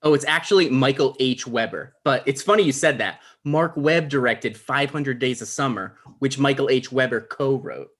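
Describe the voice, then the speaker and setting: A man's voice: mid-range voice, normal speed, few pauses, a bit bright, lightly acted. A man playing an awkward, pedantic but well-meaning talk show host, mild and conversational, in a comedy table read.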